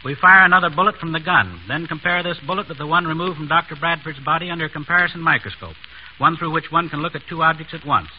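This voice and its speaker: A middle-aged man answers as an expert radio guest in formal, scripted sentences. He talks fast at a fairly high pitch on a muffled old recording. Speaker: physician criminologist, interview guest. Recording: dull, noisy vintage radio recording.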